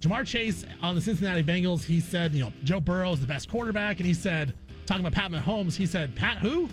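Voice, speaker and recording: A man's voice: high-pitched, lively intonation, fast talker, faint music behind. A man hosting a sports talk show, chatting casually and repeating phrases for emphasis. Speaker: sports talk radio host.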